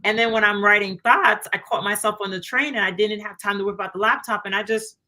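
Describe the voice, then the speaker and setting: A woman author being interviewed over a video call, talking in a chatty, animated way and acting out little quotes of what she told herself and others. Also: medium pitch, fast. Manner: chatty, animated, many fillers and acted-out quotes. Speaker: author guest. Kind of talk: casual interview chat.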